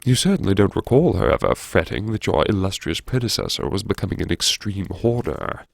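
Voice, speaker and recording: An actor as a creaky, wildly expressive academic. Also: male voice, deep voice, a little fast, dry recording, studio quality, full character voice. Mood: rueful musing. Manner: theatrical, ruminative, self-mocking, old-fashioned idiom. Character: wry, brooding old-fashioned male academic musing alone. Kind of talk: first-person audiobook drama monologue, talking to himself.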